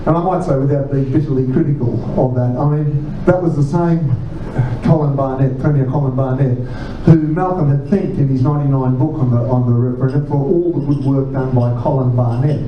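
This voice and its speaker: A middle-aged Australian man answering audience questions at a pub talk, telling lively stories with quick asides. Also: medium pitch, a little hiss or hum. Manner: animated storyteller, quick asides, restarts. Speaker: chair of a republican movement, guest speaker. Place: pub function room. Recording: distant, muffled, roomy pub recording.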